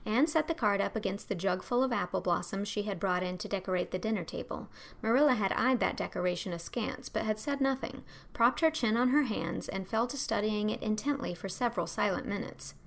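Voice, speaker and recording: A woman's voice: mid-range voice, fairly quick, gravelly. A woman reading literary prose as an audiobook narrator.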